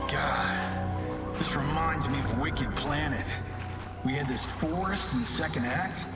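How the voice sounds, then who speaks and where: An actor playing a brash, upbeat movie-star fighter in a video game, with an American accent and breezy, slangy delivery. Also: male voice, on the high side, takes it slowly, over loud music. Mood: excited, boastful. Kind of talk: video game cinematic acting.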